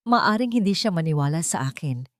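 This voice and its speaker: A woman's voice: sits low, lively intonation, a little fast, dry recording. A menacing blackmailer of an ex-boyfriend played by a woman in an audio romance drama. He is smug and taunting, pressing his threats with desperate greed. The sound is dry and close. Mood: threatening.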